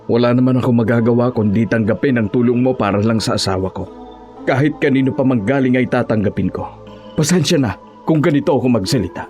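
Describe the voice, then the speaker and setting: A man's voice: medium pitch, quick pace, a little mellow, faint music behind, natural voice. Man playing a desperate, resolute monster-hunting husband. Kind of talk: audio horror drama acting.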